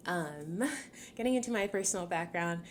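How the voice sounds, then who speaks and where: A woman in her twenties, from Cameroon, hosting a solo podcast in a chatty, upbeat way, laughing often and filling pauses with ums. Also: on the low side, lively intonation, a little hiss or hum. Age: 20s. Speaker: podcast host.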